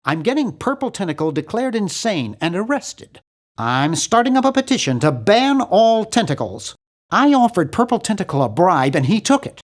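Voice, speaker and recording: A man voicing a nerdy, earnest and anxious young hero in a video game, with a fussy, animated delivery. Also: high voice, moderate pace. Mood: insistent. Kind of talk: video game character voice lines.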